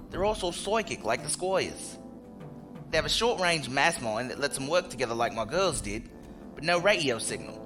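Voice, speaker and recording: A man acting a blunt, loyal spacer in a science fiction audio drama, talking in colloquial, folksy slang. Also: on the high side. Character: rough-spoken ex-security chief, blunt, loyal male spacer.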